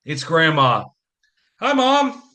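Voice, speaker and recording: A man's voice: on the high side, big pitch swings, takes it slowly, sharp tone, heavily acted. A man acting in an audio play as a harried, wry, affectionate businessman father with dry sarcasm.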